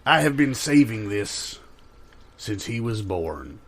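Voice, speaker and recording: Guy doing old retired crook, husky low voice, wry and solemn, takes his time, improv comedy. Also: big pitch swings, slow pace, exaggerated voice. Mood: wistful, ominous.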